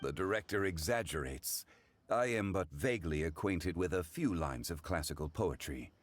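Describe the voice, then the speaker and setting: Learned gentleman consultant for a game: very deep, composed, measured, formally polite. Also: lively intonation, no room sound. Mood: courteous. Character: dignified, erudite gentleman consultant, calm and formal. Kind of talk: animated video game voice acting.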